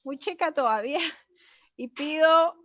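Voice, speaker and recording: A woman's voice: sits high, slow pace, fairly bright tone, no room sound. A woman with a Colombian accent hosting a casual chat, effusive and given to telling anecdotes, on slightly muffled audio. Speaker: host of an online cultural talk.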